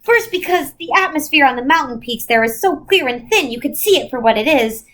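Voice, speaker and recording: A woman voicing a kindly, chatty old celestial lady, gentle and motherly, in a storybook reading. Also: high-pitched, expressive, brisk. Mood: gentle, regretful.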